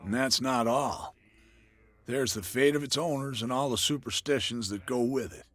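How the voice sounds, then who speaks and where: An actor playing a wary male barkeeper in a video game, cagey and matter-of-fact, with a rough, husky voice. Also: medium pitch, moderate pace, fairly bright tone, lightly acted. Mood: guarded. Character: wary, guarded male barkeeper passing on local rumors. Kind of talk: video game cutscene dialogue.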